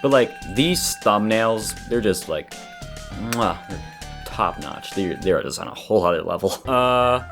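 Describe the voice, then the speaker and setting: A young man with a fairly low voice delivers comedic video-essay commentary. He is casual, sarcastic and joking, and faint music plays under his voice. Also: animated, a little slow, fairly bright tone. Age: young adult.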